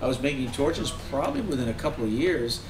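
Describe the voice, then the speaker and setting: A man hosting a casual interview, speaking haltingly with frequent restarts. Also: medium pitch, animated, over music. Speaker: host, business owner. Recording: echoey room from far off with crowd noise.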